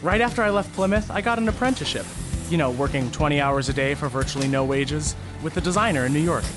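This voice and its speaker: An actor playing an eager young fashion apprentice, chatty and hopeful. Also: male voice, a little high, lively intonation, fast, music in the background, close to an everyday voice. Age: young adult. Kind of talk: audio drama acting.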